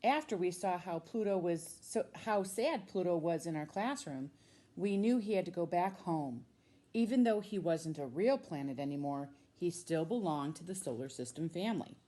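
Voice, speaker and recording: A woman with an American accent, a teacher reading a storybook aloud to children with expressive, drawn-out emphasis on key words. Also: fairly low pitch. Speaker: middle school science teacher reading a children's book.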